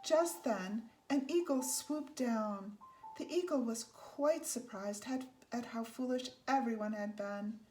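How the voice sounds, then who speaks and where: A woman with a slightly high voice narrates a children's picture book. Her storytelling is measured and deliberate, with certain phrases given extra weight. There is faint background noise in the recording. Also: dark tone, poor audio. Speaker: storybook reader.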